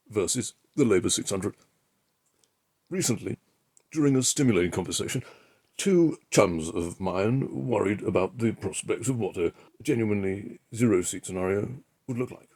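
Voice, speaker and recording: A man with a lowish, rough-edged voice reads a political essay aloud, putting on the air of a pompous pundit. His phrasing is deliberate, with weighty pauses for emphasis. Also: darkish tone. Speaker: amateur reader of a political article. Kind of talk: reading an opinion essay aloud in an impression of a pundit.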